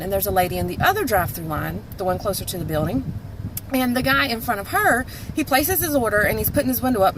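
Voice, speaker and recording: A woman's voice: medium pitch, noisy background. Chatty North Carolina woman in her thirties. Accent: North Carolina Southern. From North Carolina, USA. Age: 30s. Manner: chatty and emphatic, lots of "like" fillers. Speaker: vlog host. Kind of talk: casual storytelling monologue.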